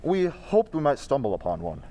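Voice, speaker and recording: A man acts a courteous gentleman sleuth from an old-time radio play. His pitch is middling and moves around a lot. He phrases things formally and keeps his composure. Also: wildly swooping pitch, moderate pace. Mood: calm, probing.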